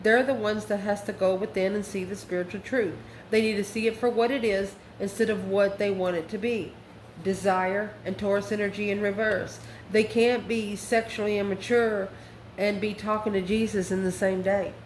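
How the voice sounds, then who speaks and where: A woman's voice: mid-range voice, fairly even intonation, normal speed. A woman giving a casual, spontaneous tarot reading, talking straight to the viewer in a conversational, exclamatory way. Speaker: tarot card reader. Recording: echoey room with faint background noise.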